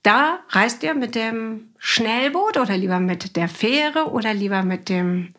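A woman's voice: a little high, lively intonation, measured pace, fairly bright tone. A middle-aged woman hosting a podcast, interviewing her guest in a casual, conversational way.

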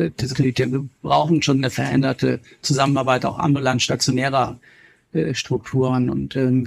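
A man with plenty of fry in his voice, speaking as a podcast guest from northern Germany. He explains his points at length, measured and earnest, in an ordinary male register. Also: mid-range voice. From Kiel, northern Germany. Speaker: interviewed guest, healthcare executive and author.

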